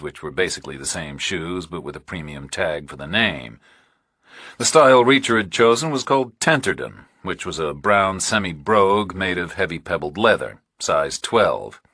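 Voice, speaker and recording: Male audiobook narrator for thriller fiction: bass register, bright forceful edge, deliberate and exact. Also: husky, dry recording, studio quality.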